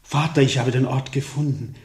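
A man's voice: medium pitch, fairly even intonation, moderate pace, a little mellow, lightly acted. A man acting a studious, obliging monastery librarian in a German radio drama, searching and deferential, in a roomy, echoing sound. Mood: absorbed, searching.

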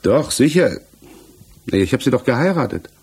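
Halting, husky, troubled male defendant. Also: medium pitch, big pitch swings, darkish tone. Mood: guarded. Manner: halting, searching for words, defensive. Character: troubled male defendant, married father, haunted and evasive. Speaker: actor in a radio crime drama. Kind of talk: 1960s German radio play acting. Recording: 1960s mono radio production.

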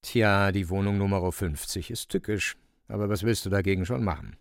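A man's voice: low-pitched, normal speed, no room sound, studio quality. A man narrating a radio play from a script, a wry storyteller with colloquial asides.

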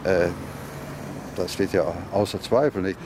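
A man's voice: sits low, measured pace. A middle-aged man chatting casually, often hesitating as he searches for words. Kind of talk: casual conversation. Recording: clear street and traffic noise.

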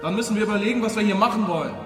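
An actor playing a blunt, restless bank robber in a radio crime drama, with a brisk colloquial delivery. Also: male voice, sits high, music in the background, natural voice. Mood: blunt, practical. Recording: long reverb of a hall.

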